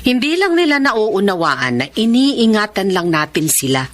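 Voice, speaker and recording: A woman's voice: mid-range voice, very animated, moderate pace, lightly acted. An actress playing a caring middle-aged mother in a radio drama. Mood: relieved. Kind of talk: Tagalog radio drama acting.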